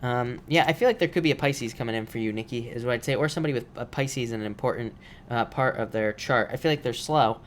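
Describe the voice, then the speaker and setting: A man with a voice made rough by allergies, reading tarot cards on a live stream in a casual, repetitive way with many fillers. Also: mid-range voice, quick pace, slight background noise. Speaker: tarot reader.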